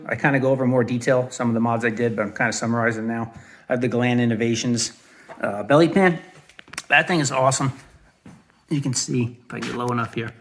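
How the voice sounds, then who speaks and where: A man in his forties from southern Arizona giving a casual, rambling walkaround review at home outdoors, full of fillers and restarts. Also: medium pitch. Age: 40s. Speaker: vlogger reviewing his own quad.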